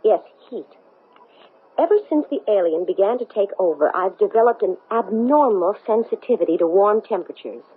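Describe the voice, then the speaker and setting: A woman's voice: mid-range voice, expressive, normal speed, husky. A woman playing a desperate, insistent astronaut possessed by an alien, urgent and pleading, in a 1970s radio drama. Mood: distressed. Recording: muffled, narrow-band old radio.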